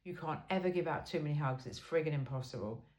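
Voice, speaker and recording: A woman's voice: low voice, fast, darkish tone. A woman reading a self-help book aloud in an informal, blunt and emphatic way.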